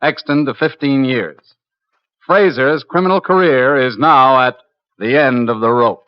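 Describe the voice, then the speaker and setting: An actor playing a calm, authoritative, matter-of-fact federal agent in an old-time radio crime drama. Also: male voice, mid-range voice, measured pace, lightly acted. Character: calm, businesslike male FBI agent, firm narrator. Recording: muffled old radio broadcast recording.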